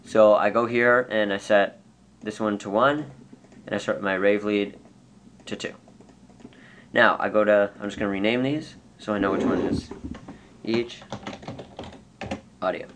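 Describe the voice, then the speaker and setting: A man giving an unscripted, casual software tutorial, explaining step by step and correcting himself as he goes. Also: on the low side, steady tone, a little slow, pauses often. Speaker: tutorial presenter. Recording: clear background noise.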